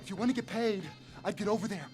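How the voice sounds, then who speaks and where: A man's voice: high voice, quick pace, darkish tone, close to an everyday voice. A man voicing a nervous, oddball criminal associate in a video game cutscene, rambling and correcting himself, with music behind him. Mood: panicked. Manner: rambling, hesitant, self-correcting.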